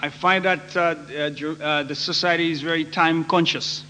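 Bright-voiced Haitian man preaching in a reverberant hall. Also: a little high, steady tone. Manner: halting, pausing often. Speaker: guest preacher. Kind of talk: sermon through an interpreter, in short phrases. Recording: noisy echoey hall with hum.

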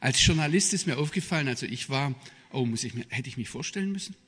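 A middle-aged man with a southwest German accent giving a public lecture in an emphatic, deliberate manner. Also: medium pitch, lively intonation, fairly bright tone, breathy edge. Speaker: guest speaker, journalist and theologian. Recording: reverberant hall, long echo.

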